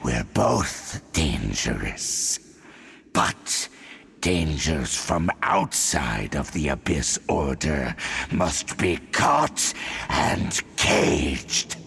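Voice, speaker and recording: An actor playing a sinister male herald of the abyss who taunts in cold, drawn-out, whispery phrases. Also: extremely low pitch, very animated, creaky, fairly bright tone, husky, exaggerated voice. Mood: menacing.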